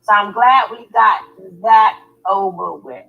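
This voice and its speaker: A woman with a hoarse, raspy voice hosting a casual livestream chat. She laughs often and keeps repeating her words. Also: medium pitch, slow pace, narrow, tinny audio. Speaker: livestream host.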